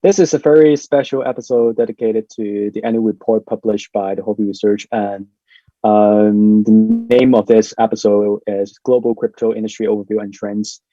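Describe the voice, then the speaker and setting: A man's voice: on the low side, fairly even intonation, normal speed, warm tone, dry recording, faint music behind. A man hosting an online panel, introducing guests in a casual way with many fillers. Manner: casual, filler-heavy, upbeat. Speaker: host. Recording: dull lo-fi sound.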